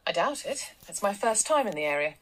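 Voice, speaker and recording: A woman's voice: medium pitch, big pitch swings, fairly quick, fairly bright tone, low-quality recording. An actress playing a confident, assertive woman tech entrepreneur, in a radio drama. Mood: assertive, slick. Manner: slick, assertive, salesy.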